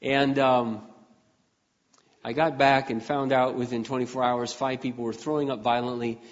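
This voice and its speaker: An older man preaching a folksy, conversational sermon as pastor, often clearing his throat and restarting his sentences. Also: mid-range voice, little pitch movement, normal speed. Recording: dull, muffled sound with long hall reverb.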